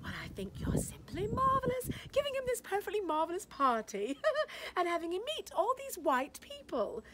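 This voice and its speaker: A woman's voice: extremely high pitch, huge pitch swings, moderate pace, background noise, full character voice. A woman doing a gushing giggly society lady. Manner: breathless, fluttery, with many giggles and gasps. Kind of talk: dramatic reading of a short story.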